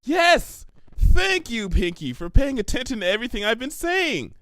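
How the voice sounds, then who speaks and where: A man's voice: very high voice, big pitch swings, normal speed, a bit bright, no echo, clean studio sound, voice pushed up, full character voice. A man voicing an earnest, bookish female unicorn pony who is exasperated and authoritative, speaking in a wordy, lecturing, emphatic way. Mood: delighted.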